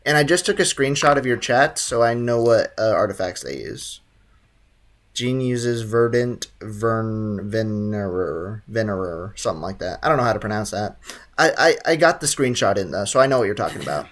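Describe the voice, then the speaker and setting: A man's voice: fairly low pitch, expressive, moderate pace. A young man streaming a game casually, joking around and reading chat aloud.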